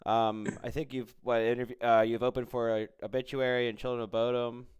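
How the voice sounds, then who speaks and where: A man's voice: medium pitch, little pitch movement, a little fast, darkish tone, clean tone. An American man hosting a casual interview, chatting loosely and chiming in with encouraging reactions. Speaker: podcast host interviewer. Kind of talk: casual chat.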